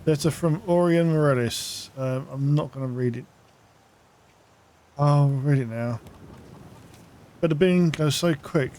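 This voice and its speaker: A man's voice: a little high, a little slow, long pauses. A man hosting a gaming video, giving casual playthrough commentary and reading in-game text aloud. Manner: chatty, self-narrating. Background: soft game music.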